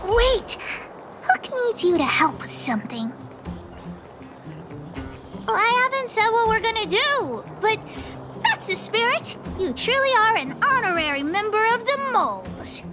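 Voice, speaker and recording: A woman's voice: extremely high pitch, sing-song, measured pace, pauses often, music in the background, full character voice. An actress voicing a feisty, bossy little girl in a video game, with a brash childlike manner. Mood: eager, bossy. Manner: brash, childlike, third-person self-talk. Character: feisty, bossy little girl, self-styled gang leader. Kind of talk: animated video game dialogue.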